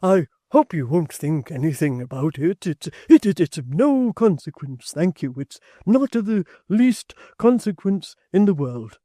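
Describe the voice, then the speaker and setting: A man voicing a bashful, flustered young gentleman who stammers, in a storybook reading. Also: high-pitched, sing-song, a little slow, hardly any pauses, dry recording, clean studio sound, voice pushed up, full character voice. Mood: awkward.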